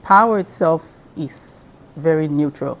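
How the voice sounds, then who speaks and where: Low-voiced woman explaining slowly. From Nigeria. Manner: slow and measured, with frequent um and uh fillers. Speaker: interview guest, spiritual practitioner. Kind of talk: explanatory conversational interview.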